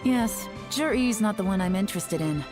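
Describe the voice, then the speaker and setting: A woman's voice: mid-range voice, expressive, normal speed, lightly acted. An actress playing a confident, shrewd female intelligence agent in a video game, composed and matter-of-fact. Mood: shrewd, cool. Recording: music behind the voice.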